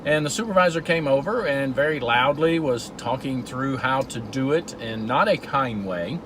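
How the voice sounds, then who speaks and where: A man's voice: mid-range voice, expressive, normal speed, noisy background. Man talking while driving. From Georgia, USA. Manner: earnest, storytelling, with repeated restarts. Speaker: vlog host. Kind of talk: casual talk while driving. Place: in a car.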